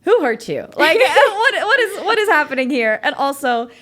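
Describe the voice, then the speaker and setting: A young woman who speaks English as a second language after German, hosting a casual interview about songwriting in a chatty, animated way. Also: high voice, normal speed. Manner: chatty, animated, often laughing. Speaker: podcast host.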